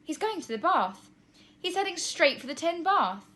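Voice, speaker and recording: Woman voicing an excitable, high-pitched indignant young girl. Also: moderate pace, exaggerated voice. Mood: excited, impatient. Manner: exclaiming, emphatic. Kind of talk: children's storybook reading.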